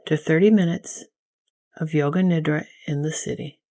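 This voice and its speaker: A woman leading a guided yoga nidra meditation as a teacher, giving gentle, soothing instructions. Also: low voice, steady tone, moderate pace.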